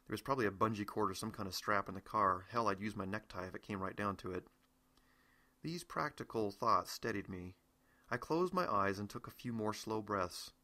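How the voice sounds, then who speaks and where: A man's voice: lowish voice, a little fast, bright, forceful tone. A middle-aged American man reading his own novel aloud as first-person audiobook narration.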